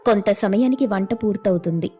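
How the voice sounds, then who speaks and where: A woman's voice: mid-range voice, fairly quick, mellow. A woman reading a scripted family story as a storyteller. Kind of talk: scripted story narration with acted dialogue.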